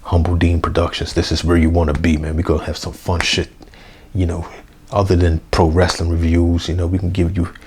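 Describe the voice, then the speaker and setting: Young dude with a hoarse gravelly voice, low and flat, rambling w/ lots of you know man. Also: slight background noise. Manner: slangy, swears casually. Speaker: wrestling review vlogger. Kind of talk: casual unedited review monologue. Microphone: basic mic, plans a new one.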